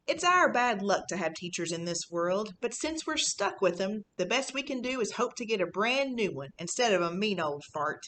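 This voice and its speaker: A woman's voice: medium pitch, very animated, moderate pace, few pauses, fairly bright tone, a little hiss or hum. A woman reading a children's book in the voice of a sneaky, boastful fifth-grade boy, telling his story casually and conspiratorially. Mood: cocky, mischievous. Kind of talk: first-person children's audiobook reading.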